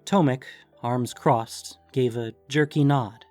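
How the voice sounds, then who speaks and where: A man narrating scripted fiction in a measured, deliberate storytelling manner. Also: medium pitch, fairly even intonation, a little mellow, no room sound, faint music behind.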